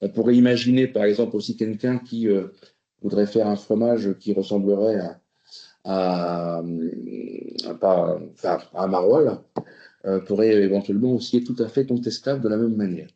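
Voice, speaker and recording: A man's voice: lowish voice, fairly even intonation, moderate pace, warm tone. A man giving a spoken presentation to a committee, hesitant, with many fillers and restarts. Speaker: presenter on food quality labels. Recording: roomy, muffled recording.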